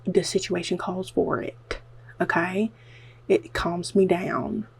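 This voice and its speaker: A woman with a strong Southern US drawl, talking to her camera at home in a low, gravelly voice with a husky edge. She rambles and jokes about her own nerves, filling her talk with "like". It sounds like a casual home vlog recorded on the camera. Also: little pitch movement, slow pace. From American South. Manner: chatty, nervous and self-mocking, uses "like" a lot. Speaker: beauty vlogger. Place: at home, self-filmed while dyeing hair.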